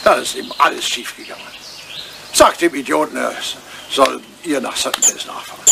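A man's voice: mid-range voice, moderate pace, gravelly, noisy background. An older man acting a grumpy, self-centred old English lord in a German radio play, querulous and easily flustered. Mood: agitated. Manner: querulous, hesitant, blustering.

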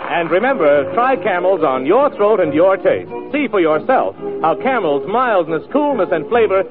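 A man's voice: high voice, very animated, normal speed, loud music behind the voice. A man announcing in the style of 1940s radio. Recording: muffled vintage radio broadcast.

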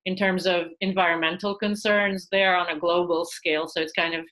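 A woman's voice: on the low side, fairly quick. A woman in her thirties from Russia, a museum curator talking on a video call with lots of fillers and restarts. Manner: animated, gestures while talking, many fillers and restarts. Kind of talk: casual video-call interview. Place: small room at home. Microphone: laptop webcam, video call.

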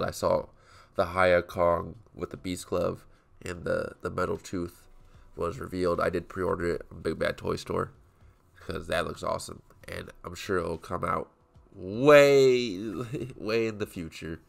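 A man's voice: deep voice, fairly even intonation, unhurried, vocal fry. A young man with a stuffed-up nose chatting casually while arranging toys for photos at his desk, rambling and correcting himself as he goes. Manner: rambling, self-correcting, offhand. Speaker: toy photography YouTuber. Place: home desk with small photo set.

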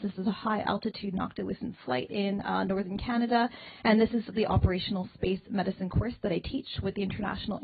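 A young woman giving an energetic conference talk on space medicine, stressing key phrases with a handheld mic. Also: medium pitch. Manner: energetic, punchy emphasis with slowed key phrases. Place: indoor wood-paneled hall, at a podium. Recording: tinny phone-like sound.

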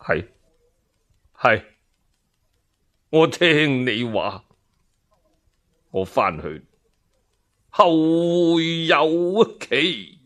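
A man's voice: on the high side, expressive, a bit bright, full character voice. Man as a tearful, weary old Cantonese prince. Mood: resigned. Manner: tearful, halting. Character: grieving aged prince, weary and resigned.

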